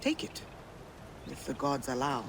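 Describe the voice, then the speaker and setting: A woman voicing a brash, cynical gambler in a video game, mocking and quick-tongued. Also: extremely low pitch, huge pitch swings, background noise, exaggerated voice. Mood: wry.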